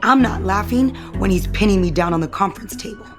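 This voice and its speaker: Casting: woman as biting soap opera wife, defiant and sarcastic, mid-pitched, light music underneath. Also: fast talker, natural voice. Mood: biting sarcasm. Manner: sarcastic, cutting. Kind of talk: audio soap opera acting.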